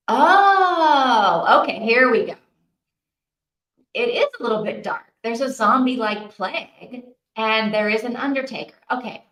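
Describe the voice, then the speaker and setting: Woman streamer just chatting w viewers, thinking out loud, expressive, medium pitch, roomy echo. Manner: chatty, expressive, thinking aloud, reacting with interest. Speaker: host of a live reading-sprint stream. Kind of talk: casual livestream chat with viewers. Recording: roomy, echoey sound.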